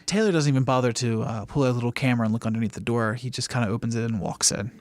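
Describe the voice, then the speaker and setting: A man's voice: mid-range voice, very fast, darkish tone, no room sound. A man acting as game master, improvising narration for a tabletop game in a conversational way.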